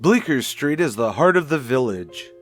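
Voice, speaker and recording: An American man narrating a mid-century radio mystery as announcer, deliberate and declamatory. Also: medium pitch, big pitch swings, faint music behind, natural voice.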